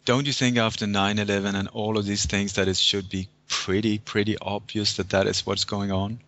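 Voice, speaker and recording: A man from Sweden, a guest on a call-in style interview, telling stories in an animated way. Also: moderate pace. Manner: animated storyteller, acts out phone calls in high voices. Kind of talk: casual interview talk. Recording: dull lo-fi audio.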